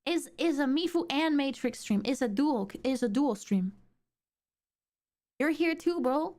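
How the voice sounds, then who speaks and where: A young woman streamer with a sore throat, chatting excitably and rambling with lots of fillers. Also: high voice, a little slow. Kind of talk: casual stream chat.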